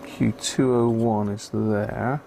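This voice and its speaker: A man's voice: on the low side, steady tone, slow, creaky, background noise. A British man giving casual live commentary as a hobbyist repairer, muttering and thinking aloud as he works. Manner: muttering to himself while working, thinking aloud. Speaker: livestream host, radio repair hobbyist.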